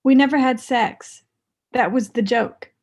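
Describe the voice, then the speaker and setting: Comedy table read: resentful young ex-girlfriend played by a woman, slightly high voice, deadpan and confrontational. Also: measured pace, highs cut off. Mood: blunt, wounded. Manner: blunt, deadpan, pressing.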